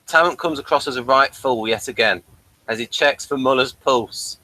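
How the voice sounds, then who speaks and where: A man in casual, unscripted review talk, explaining things in a halting way. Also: mid-range voice, bright, forceful tone, rough recording.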